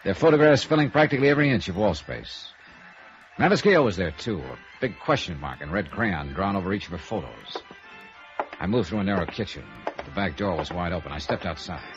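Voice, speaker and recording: A middle-aged American private investigator from 1950s radio noir, wry and probing. His low voice moves up and down in a lively, conversational way. The old recording is dull, with music behind it. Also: a little fast. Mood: brooding.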